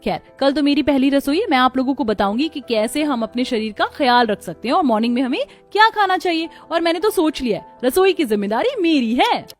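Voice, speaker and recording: A woman's voice: high-pitched, lively intonation, brisk, few pauses, soft background music. A woman playing a breezy, self-assured young city daughter-in-law in a scripted cartoon.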